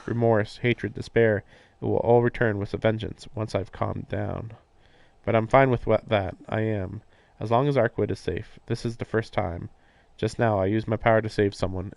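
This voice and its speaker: A man's voice: fairly low pitch, steady tone, normal speed, vocal fry, darkish tone, dry recording. A man on a live game stream, reading narration aloud and breaking off for casual, puzzled commentary. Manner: puzzled, questioning asides. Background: game music.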